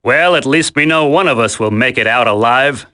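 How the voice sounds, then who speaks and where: An actor playing a cocky, wisecracking, flippant commando in a dramatic video game. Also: male voice, medium pitch, normal speed, sharp tone, lightly acted. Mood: teasing.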